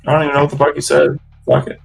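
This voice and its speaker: A man's voice: fairly high pitch, completely flat delivery, rapid-fire, vocal fry. A man in his early forties in casual, profane podcast chat, rambling loosely with lots of slang. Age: early 40s. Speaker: podcast co-host.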